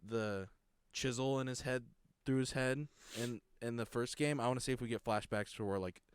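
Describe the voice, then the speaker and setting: Rambling young man, smooth dry voice. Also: fairly even intonation, moderate pace, dry recording. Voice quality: low voice. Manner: rambling, laughs often, many restarts. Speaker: podcast co-host. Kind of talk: casual chat.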